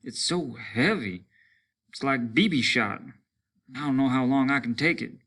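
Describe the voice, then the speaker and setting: Man acting a bright-voiced, bitter stranded crewman. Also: mid-range voice, unhurried, voice pushed up. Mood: bitter griping. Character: bitter, soggy crewman stranded on a rain-soaked planet.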